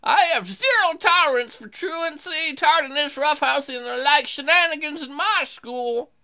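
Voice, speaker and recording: A woman voicing a pompous, stern male hammerhead shark principal in a visual novel, theatrical and drawn-out. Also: high-pitched, pushed and bright, voice pushed up.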